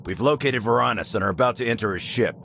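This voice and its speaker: An actor playing a cocky, gruff action-game soldier with a gravelly voice and curt, deadpan quips. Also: male voice, medium pitch, fast, background noise, natural voice. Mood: businesslike. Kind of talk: video game cutscene acting.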